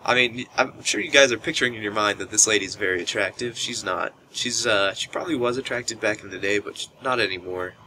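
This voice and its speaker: Young male storytelling vlogger, deeper bright voice, casual and animated, noisy camera mic. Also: quick pace, few pauses. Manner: animated retelling, acts out quoted speech. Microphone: built-in camera microphone. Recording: noisy low-quality camera microphone.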